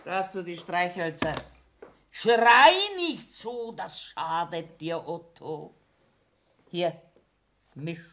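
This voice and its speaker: An actress playing a practical, scolding woman of the household, brisk and curt, in a 1960s radio play. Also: on the low side, lively intonation, a bit bright. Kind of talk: naturalistic 1960s German radio play acting.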